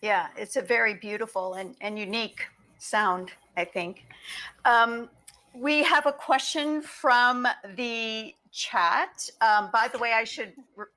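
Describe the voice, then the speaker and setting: A woman moderating an online panel, taking questions from the chat in a warm, encouraging way. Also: a little high. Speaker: host, moderator.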